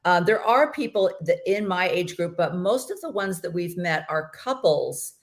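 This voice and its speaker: A woman's voice: fairly low pitch, lively intonation, moderate pace. A middle-aged woman hosting a casual interview over a video call, chatty and rambling. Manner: chatty, laughs easily, rambling. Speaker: host.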